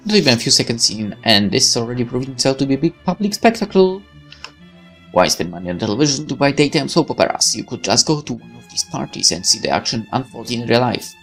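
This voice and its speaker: A man reading a visual novel's narration aloud in a wry, measured storytelling way. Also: mid-range voice, expressive, fairly bright tone, soft background music. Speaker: gaming video narrator.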